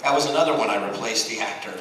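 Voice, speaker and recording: A man's voice: fairly low pitch, very fast, pushed and bright. American male panelist, casual and rambling, jokey storyteller, picked up from far off in a big echoing room. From Texas, United States. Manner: rambling, jokey, acts out quoted remarks. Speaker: voice actor and director on a convention panel. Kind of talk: casual panel talk with anecdotes. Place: large room at a fan convention. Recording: very echoey hall, distant mic, clear noise.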